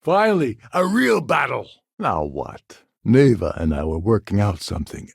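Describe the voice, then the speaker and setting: An actor playing a gruff, cynical, self-serving space pirate in a video game, with a gravelly voice and a wry, sardonic, world-weary manner. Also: male voice, fairly low pitch, very animated, normal speed, dry recording, clean studio sound, heavily acted. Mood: battle shouting. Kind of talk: game character dialogue lines.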